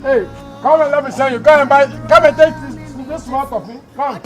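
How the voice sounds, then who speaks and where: A man's voice: a little high, animated, moderate pace, soft background music, a faint crowd, natural voice, poor audio. An actor with a Nigerian accent playing a proud, menacing man in a land dispute, blustering and throwing challenging questions in film drama dialogue. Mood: mocking, shouting.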